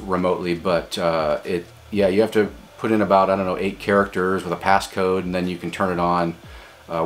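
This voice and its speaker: An American man in his forties giving a casual demonstration and chatting as an amateur radio hobbyist. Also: deep voice. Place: small home office indoors.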